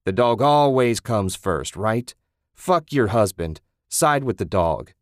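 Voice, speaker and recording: Male reader doing a curt, accusing husband, low voice, dry read. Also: expressive, moderate pace, dry recording, close to an everyday voice. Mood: bitter. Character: hot-tempered, accusing husband obsessed with his car.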